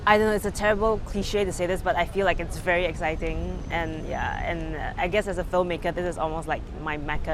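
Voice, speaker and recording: A woman's voice: lowish voice, noisy background. A woman from Singapore, a filmmaker, talking casually in an interview with informal filler phrases like "you know".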